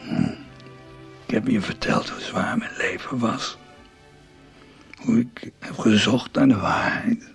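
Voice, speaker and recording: A dying older writer in a radio drama, his voice gravelly and badly husky, often sinking to a whisper. He is exhausted and tender, speaking slowly and fatherly. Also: fairly low pitch, wildly swooping pitch, faint music behind, heavily acted. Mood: weary. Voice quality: breathy, raspy whisper breaking into voice. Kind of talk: radio soap acting.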